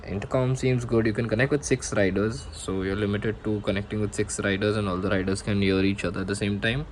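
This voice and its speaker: A man with an Indian accent casually showing his gear as a vlogger, unscripted and often pausing to search for words. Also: fairly low pitch, darkish tone, slight background noise. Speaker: motovlogger presenting own gear. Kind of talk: casual unscripted product show-and-tell.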